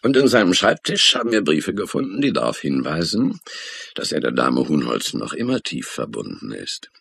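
A sardonic homicide detective with a very deep, husky voice. He needles and insinuates, smug and suspicious, swinging widely in pitch. It is German radio crime drama. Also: very animated, fairly bright tone, dry recording, full character voice. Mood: smug needling. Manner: taunting, insinuating, drawn-out emphasis.

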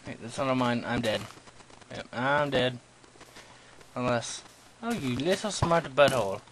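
A young man narrates his game in a goofy, self-mocking way, scolding monsters and teasing himself. He talks slowly and unhurriedly, at a middling pitch. The recording carries clear background noise. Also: expressive, a bit bright. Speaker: gamer playing commentary. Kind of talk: let's play commentary. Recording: noisy home recording.

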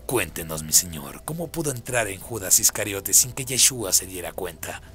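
Man playing low, servile demon. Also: animated, normal speed, sharp tone, soft background music. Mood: servile, curious. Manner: deferential, questioning. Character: deferential male demon servant of Lucifer. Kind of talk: radio drama acting.

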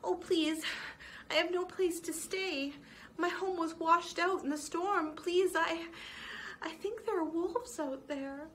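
A woman's voice: high-pitched, lively intonation, slow, long pauses, fairly bright tone, slight background noise, voice pushed up, exaggerated voice. A woman doing a stammering frightened little mouse. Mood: timid pleading. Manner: stammering, pleading. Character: small frightened young female mouse.

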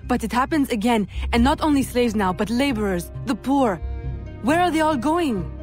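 A woman's voice: fairly high pitch, lively intonation, moderate pace, darkish tone, soft background music, lightly acted. An actress playing a commanding young female vigilante of the colonial era in a video game, composed, formal and firm. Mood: concerned, resolute. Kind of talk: scripted video game acting.

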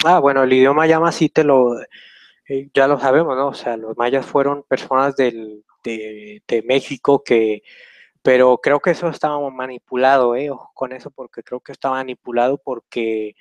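A man's voice: medium pitch, fairly even intonation, moderate pace, no room sound, low-quality recording. Hesitant young man on a video call. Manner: rambling, hesitant, many fillers and tag questions. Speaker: host answering questions. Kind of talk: casual live video Q&A. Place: small room indoors. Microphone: webcam on a video call.

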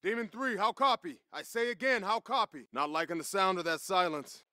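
A battle-hardened American Marine squad leader in a military shooter game. His voice sits high for a man and is recorded close and dry. He speaks in clipped, salty commands with total confidence. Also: normal speed, sharp tone, dry recording. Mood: low, wary. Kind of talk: video game combat dialogue.

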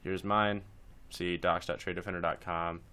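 A man's voice: sits low, flat delivery, moderate pace, sharp tone, smooth. A man presenting a casual screencast tutorial, talking informally through each step as he instructs.

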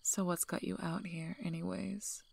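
Husky, half-whispering female werewolf alpha, acted by a woman. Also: fairly low pitch, little pitch movement, moderate pace, darkish tone. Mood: low, softening. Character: gruff, guarded female werewolf pack alpha, wryly protective. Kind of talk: soft-spoken ASMR role-play.

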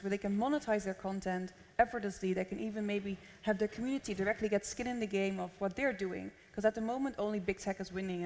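A woman's voice: medium pitch, darkish tone. A young woman from the Netherlands speaking as a panelist at a conference, on stage in a large hall. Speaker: panelist, venture consultant. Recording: long reverb of a large hall.

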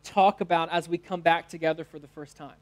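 A man's voice: a little high, little pitch movement, normal speed. A man in his thirties with an American accent, a pastor giving a sermon in short phrases. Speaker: teaching pastor. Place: church stage, indoors.

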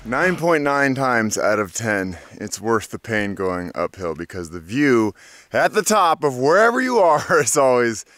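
A man's voice: mid-range voice, lively intonation, a little slow, fairly bright tone. A man in his forties vlogging casually and cheerfully while riding a bike on a mountain dirt road outdoors, recorded on an action camera held at arm's length. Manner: chatty and upbeat, talks to animals, playful sing-song bits. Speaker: bikepacking vlogger. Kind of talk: casual vlog narration to camera.

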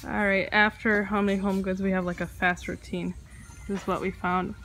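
A woman's voice: medium pitch, flat delivery, moderate pace, noisy background. A young woman vlogging casually while shopping, gushing over items and reading prices aloud into her handheld phone. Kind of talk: casual shop-with-me commentary. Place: home decor stores, indoors. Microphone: handheld phone camera.

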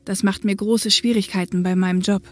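A woman's voice: medium pitch, fairly even intonation, fairly quick, lightly acted. A woman playing a proud, defiant police co-pilot under pressure in a German audio drama. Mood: hesitant.

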